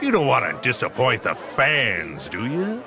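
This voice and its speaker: An actor playing a boisterous, folksy, glad-handing mayor who talks mockingly in an audio drama. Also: male voice, medium pitch, wildly swooping pitch, measured pace, pushed and bright, soft background music, exaggerated voice. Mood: coaxing.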